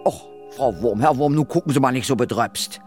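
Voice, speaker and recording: A woman playing a brisk, unflappable ghost hunter in a children's audio drama, matter-of-fact, authoritative and a little wry. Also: extremely low pitch, a little mellow, faint music behind.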